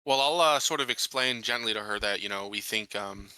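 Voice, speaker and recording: Male roleplaying player, casual and hesitant, crisp bright voice, measured delivery. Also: lowish voice, no room sound, low-quality recording. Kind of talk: casual tabletop game talk.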